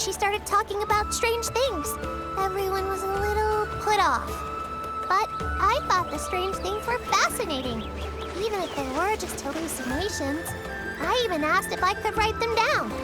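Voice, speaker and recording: A woman voicing a small, excitable young village creature in a video game, chatting and telling tales in an animated, earnest way. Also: very high-pitched. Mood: earnest, concerned. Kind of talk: video game character dialogue. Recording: game music behind the voice.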